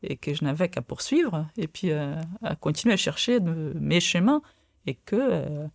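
A woman whose first language is Portuguese, a researcher speaking as a guest in a radio interview, thinking aloud with many pauses and fillers. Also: low voice, animated, creaky, darkish tone, no room sound, clean studio sound. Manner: hesitant, many fillers and restarts.

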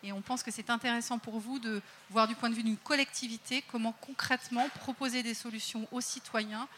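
A woman with a slightly higher voice welcomes a conference audience through a mic. She introduces each speaker formally, pausing now and then on small fillers. A faint murmur of the room sits behind her. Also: fairly even intonation, fairly bright tone, a little hiss or hum. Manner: hesitant, some fillers. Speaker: event organizer.

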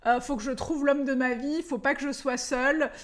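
A woman's voice: high voice, fairly even intonation, normal speed. A woman in her thirties from France hosting a solo podcast as a dating coach, talking eagerly to the listener with many fillers and restarts. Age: 30s.

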